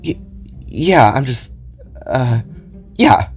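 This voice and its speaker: A man's voice: mid-range voice, animated, extremely slow, soft background music. A man voicing a bubbly, easily flustered teenage girl guitarist who stammers and exclaims, in an audio drama. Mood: awkward, flustered.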